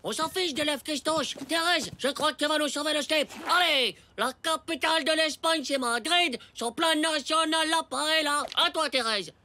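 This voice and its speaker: An actor voicing a cheeky, excitable ten-year-old schoolboy in a French cartoon, with slangy, indignant exclamations. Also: sits high, moderate pace, few pauses. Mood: excited. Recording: loud music underneath.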